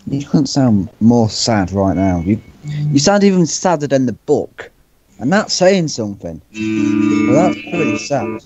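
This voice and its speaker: A man giving short, deadpan, offhand answers in a casual joking chat. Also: mid-range voice, unhurried, lo-fi sound, over music. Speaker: interviewee, amateur story writer.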